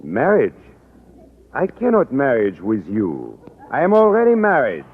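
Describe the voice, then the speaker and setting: A man's voice: sits low, very animated, moderate pace, breathy edge, full character voice. An actor playing an amorous, earnest middle-aged Frenchman with a French accent and broken English, in radio sitcom style. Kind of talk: 1950s radio sitcom acting. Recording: tinny hissy old radio broadcast.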